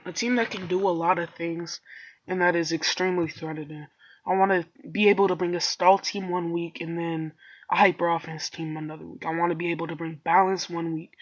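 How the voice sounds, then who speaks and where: A stuffy-nosed man talks straight to his viewers in a loose, slangy ramble, sick and congested but pushing on. He drifts into joking side remarks before getting back on track. The recording is muffled and lo-fi, like a cheap home mic. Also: high voice. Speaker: YouTuber. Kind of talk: casual solo video commentary.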